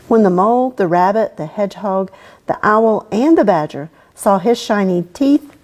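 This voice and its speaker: A woman hosting a children's story time, reading a picture book aloud in an expressive storytelling way. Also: mid-range voice. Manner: expressive storytelling aimed at kids.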